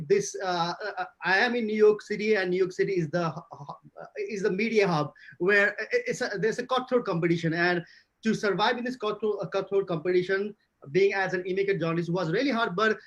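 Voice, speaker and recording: Man, Nepali accent, high voice, halting with restarts, dull lo-fi sound. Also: a bit bright. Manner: hesitant, many restarts and fillers. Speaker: journalist panelist. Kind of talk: conference panel answer.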